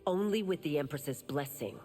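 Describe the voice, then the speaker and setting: A woman's voice: sits low, expressive, normal speed, warm tone, slightly rough. An actress playing a tough, guarded female constable with a curt, official manner in a video game. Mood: stern, distrustful. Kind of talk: video game fight intro acting. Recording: hall reverb, faint music behind.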